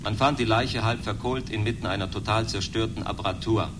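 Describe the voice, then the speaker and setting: A sober male news announcer played by an actor delivers a scripted bulletin in a radio drama. His voice sits slightly low, formal and matter-of-fact. Clear noise and rumble surround it. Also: fairly quick, close to an everyday voice. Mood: neutral, formal.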